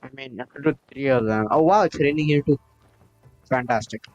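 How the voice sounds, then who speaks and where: A man's voice: medium pitch, fairly quick, no room sound, lo-fi sound, faint music behind. A man chatting casually while he plays a game on a live stream. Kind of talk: casual gameplay chat.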